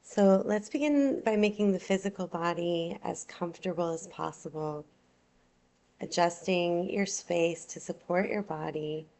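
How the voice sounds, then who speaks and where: A woman teaching yoga nidra, guiding a relaxation meditation in a soothing, unhurried, gentle way. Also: lowish voice.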